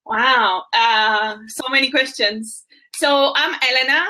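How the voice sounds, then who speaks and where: High, bright-voiced enthusiastic woman. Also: slow, low-quality recording. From Bulgaria. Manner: enthusiastic, warm storytelling with many fillers. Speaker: travel blogger guest. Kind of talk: casual chat.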